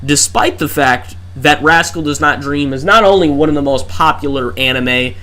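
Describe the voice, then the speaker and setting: A man's voice: on the high side, moderate pace, bright, forceful tone. An exhausted man streaming a game and rambling through rhetorical questions into a desk microphone. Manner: talks through his thoughts with many rhetorical questions and restarts. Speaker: gaming livestreamer. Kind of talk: rambling live-stream rant.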